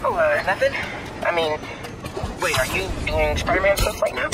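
A man's voice: high-pitched, lively intonation, moderate pace, gravelly, loud music behind the voice, a loud crowd. A man voicing an awkward, nervous teenage boy in a video game, hesitant and apologetic.

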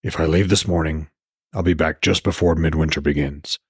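A man voicing a weary, stubborn old Norse god in a terse, measured way for an audiobook. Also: very deep voice, steady tone, a little mellow, husky, no echo, clean studio sound, pitched below the performer's own voice, exaggerated voice. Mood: firm, weary.

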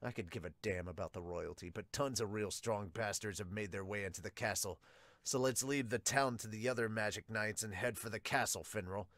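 A man voicing a gruff, foul-mouthed knight captain in a manga dub, blunt and unimpressed. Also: lowish voice, brisk, fairly bright tone, no echo, pitched below the performer's own voice. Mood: grim.

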